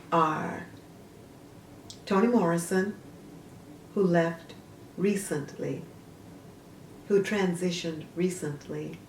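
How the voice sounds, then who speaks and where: Woman, slow measured phrasing, dramatic vlog monologue, roomy echo, faint background noise. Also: on the low side, distant mic. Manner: emphatic, deliberate, broken into short measured phrases. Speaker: host of a literary video show. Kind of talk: dramatic, theatrical vlog monologue, partly reading aloud.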